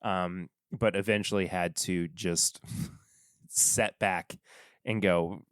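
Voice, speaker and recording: A man hosting a casual solo podcast from his desk at home, talking in a rambling, halting way that often stops and restarts. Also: low-pitched, steady tone, a bit bright, no echo, studio quality. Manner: rambling, halting, self-interrupting. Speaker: podcast host reviewing whiskey.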